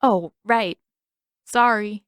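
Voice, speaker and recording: A woman's voice: mid-range voice, drawn-out words, a bit bright, clean tone, no room sound, clean studio sound. A woman voicing a sheepish, easygoing young boy in an audiobook story, mild and artless. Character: Aang, boyish, sheepish, easygoing young airbender. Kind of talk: audiobook character dialogue.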